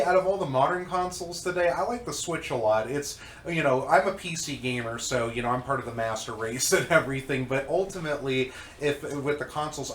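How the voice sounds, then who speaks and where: A man's voice: medium pitch, echoey room, slight background noise. A congested man rambling casually about old games, with many fillers and restarts. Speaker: co-host reviewing old magazines. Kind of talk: casual chat.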